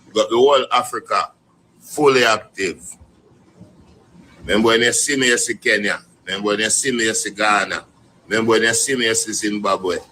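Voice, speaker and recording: Man, Jamaican accent, bright tone, casual shout-outs, faint noise behind. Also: fairly low pitch, a little fast, rough recording. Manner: relaxed, rolling shout-outs in patois.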